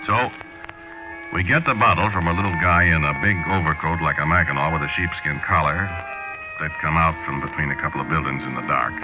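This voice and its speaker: A man's voice: very deep voice, brisk. An actor playing an uneasy American hard-luck man of the streets, telling his story in world-weary slang, in 1940s radio style. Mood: grim, uneasy. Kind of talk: 1940s radio acting, first-person narration. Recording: dull 1940s radio recording with music.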